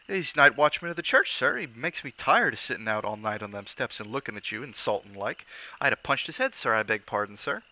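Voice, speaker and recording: A man's voice: mid-range voice, animated, a little fast, sharp tone. A man voicing a young, nervous male bellboy with a Cockney accent who says "sir" constantly and drops his g's. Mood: agitated. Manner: dropped g's, "sir" after every phrase. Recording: dull lo-fi audio.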